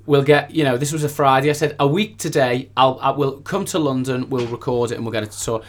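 Man, medium pitch, chatty interview guest, effusive, rambling, repetitive phrasing. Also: fairly even intonation, fairly quick. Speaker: singer-songwriter guest.

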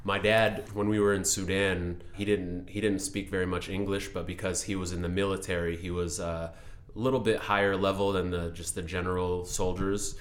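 Low, flat-voiced young man. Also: moderate pace. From Ethiopian family, raised in Seattle. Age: young adult. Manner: thoughtful, explanatory, with repeated restarts. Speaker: interview guest, attorney. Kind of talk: reflective interview answers.

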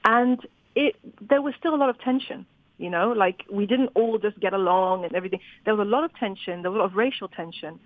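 A woman's voice: on the high side, no echo. A woman novelist who grew up in Zimbabwe, talking animatedly about her books in an interview, often restarting her sentences. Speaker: novelist guest. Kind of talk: interview conversation. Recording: dry, phone-like line.

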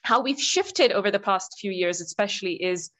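A woman's voice: mid-range voice, normal speed, a bit bright. A middle-aged woman from southern India giving earnest, articulate, explanatory answers as an interview guest, stressing her key points. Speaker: interview guest, youth employment leader.

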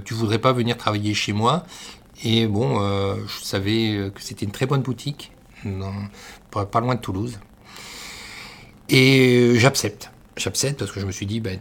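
A middle-aged man from northern France, a master baker, telling his career story in an interview with many pauses and restarts. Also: lowish voice. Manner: hesitant, many fillers and restarts. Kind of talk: conversational interview.

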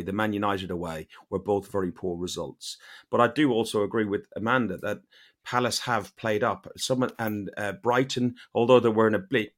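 A man on a football fan panel, slightly hoarse, eagerly jumping into the chat with stammered restarts. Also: lowish voice, fairly bright tone.

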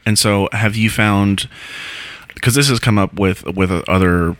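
A man's voice: fairly low pitch, measured pace, fairly bright tone. A man hosting a podcast interview, chatting casually with plenty of filler words. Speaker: podcast host.

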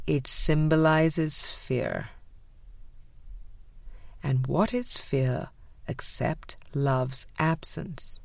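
A woman narrating a spiritual audiobook in a measured, deliberate way with frequent pauses. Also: sits low, gravelly, no room sound. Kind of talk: scripted spiritual book reading.

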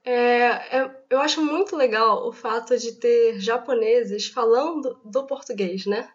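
Young Carioca woman, earnest and excitable listener testimonial, higher voice, muffled lo-fi sound. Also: measured pace. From Brazil. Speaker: listener and language student. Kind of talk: recorded testimonial. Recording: dull lo-fi audio.

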